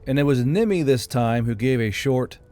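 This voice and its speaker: A man's voice: mid-range voice, animated, moderate pace, dark tone. A man reading scripted fantasy narration as an audiobook narrator. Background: background music.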